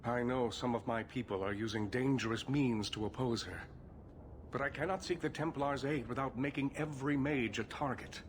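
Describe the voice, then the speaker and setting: An actor playing a grave elder male mage leader in a video game, speaking in a formal, careful, measured way. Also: mid-range voice, fairly even intonation, a little hiss or hum, lightly acted. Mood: grave, guarded. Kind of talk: video game cutscene acting.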